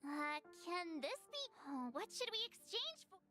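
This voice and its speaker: An actress playing an excitable, curious young girl in a video game, bubbly and full of exclamations. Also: very high voice, normal speed, exaggerated voice. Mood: amazed. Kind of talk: video game character voice acting. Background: music.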